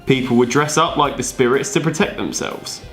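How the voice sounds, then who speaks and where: A man's voice: mid-range voice, normal speed, fairly bright tone. A male co-presenter reads scripted explainer narration with some banter. He has a mid-range voice and a dry, straight-man delivery, over faint background music. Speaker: video co-presenter.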